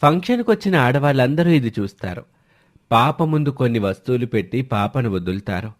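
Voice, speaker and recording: Animated male story narrator. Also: medium pitch, brisk.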